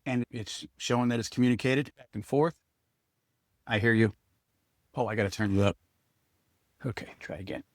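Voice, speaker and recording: A man's voice: mid-range voice, normal speed, pauses often, dry recording, clean studio sound. Casual man reviewing gear. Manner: informal, thinking aloud while demonstrating. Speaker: product reviewer. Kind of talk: casual hands-on product review, speaking to viewers.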